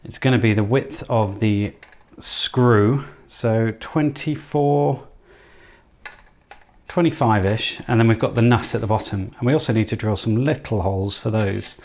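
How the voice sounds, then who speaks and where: A man's voice: on the low side, unhurried, a little mellow, slight background noise. A man casually talking through a hands-on electronics build, thinking aloud in a tentative, self-deprecating way. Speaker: host of an electronics project channel.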